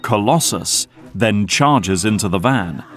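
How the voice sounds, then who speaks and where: A man's voice: fairly low pitch, lively intonation, slow pace. A man narrating an action audio drama from a script.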